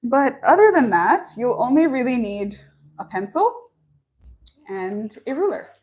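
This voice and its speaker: A woman's voice: on the high side, very animated, unhurried, darkish tone. A young woman hosting a live online lesson from a small office, chatty and upbeat, reading viewer comments aloud between explaining the supplies. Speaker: online coordinator and live-stream host. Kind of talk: friendly live-stream introduction and craft tutorial. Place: small office at a bamboo factory.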